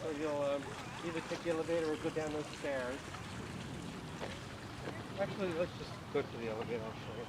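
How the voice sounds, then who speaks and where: A man on the park staff giving a visitor halting, helpful directions outdoors. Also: fairly high pitch, heavy background noise. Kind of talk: casual directions.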